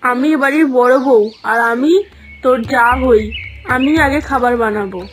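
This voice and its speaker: A woman's voice: sits high, normal speed, a little hiss or hum, lightly acted. A woman playing a bossy, hot-tempered young elder daughter-in-law in a cartoon moral story. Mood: outraged, bossy.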